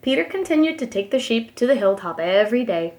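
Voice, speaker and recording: A woman's voice: on the high side, quick pace. A woman preschool teacher reading a storybook aloud expressively for young children. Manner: expressive, addresses young listeners.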